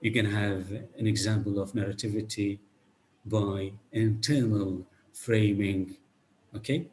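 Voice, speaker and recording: Man from Iraq, low pitch, video-call lecture, step-by-step explanations, rhetorical questions, faint background hiss. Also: steady tone, a little slow, darkish tone. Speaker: guest lecturer. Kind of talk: online academic lecture with questions.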